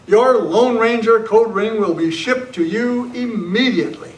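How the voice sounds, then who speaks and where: A man's voice: high-pitched, measured pace. A man reading scripted narration and announcements in the style of an old-time radio show, as an amateur. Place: club meeting room. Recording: roomy, distant camera mic, faint noise.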